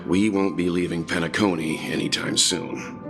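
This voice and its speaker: Low, calm game companion. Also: male voice, moderate pace, vocal fry. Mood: calm, considered. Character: calm, measured, thoughtful male traveling companion. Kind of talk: video game voice acting. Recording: loud game music behind.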